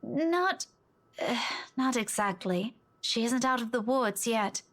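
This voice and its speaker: An actress playing a weary, distraught young woman in a visual novel, speaking in a halting, emotional way. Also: on the high side, lively intonation, fairly bright tone. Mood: anxious, defeated. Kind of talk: visual novel voice acting, dramatic.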